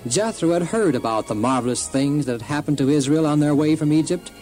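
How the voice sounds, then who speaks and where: A man narrating a scripted, dramatized children's story in a deliberate storyteller's manner. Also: fairly high pitch, darkish tone. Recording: music under narration.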